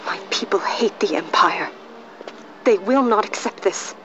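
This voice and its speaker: A woman's voice: medium pitch, lively intonation, a little slow, whispered, lightly acted. A woman playing a proud, anguished young princess in a fantasy video game, speaking formally and with restraint. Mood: troubled, bitter. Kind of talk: formal, archaic video game drama acting. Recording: slightly muffled game audio.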